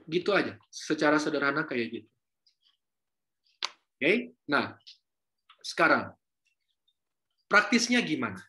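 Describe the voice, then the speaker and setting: Chatty lecturer, bright voice. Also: male voice, on the high side, sing-song, takes it slowly, long pauses. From North Sulawesi, Indonesia. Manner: casual, chatty, mixes in Javanese and English words, asks students questions. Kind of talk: university lecture.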